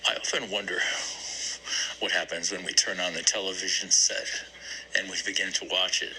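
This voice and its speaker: Brooding male therapy patient for a one-act play, read by a man: very low, searching, repetitive, faint noise behind. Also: level tone, normal speed, bright, forceful tone, heavily acted, rough recording. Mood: wistful. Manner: hesitant, searching, repeats phrases.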